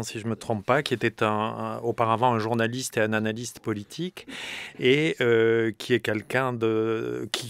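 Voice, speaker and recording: A man's voice: medium pitch, smooth, no echo. A man taking part as a guest author in a radio discussion. He talks in long, winding sentences with asides and frequent self-corrections. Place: radio studio. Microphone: studio microphone.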